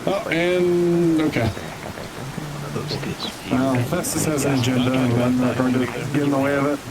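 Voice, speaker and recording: A man's voice: medium pitch, steady tone, measured pace, a loud crowd, natural voice, poor audio. A man playing an easygoing, dryly joking fantasy guard in improvised roleplay. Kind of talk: improvised in-character roleplay banter. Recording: heavy rain noise behind voice.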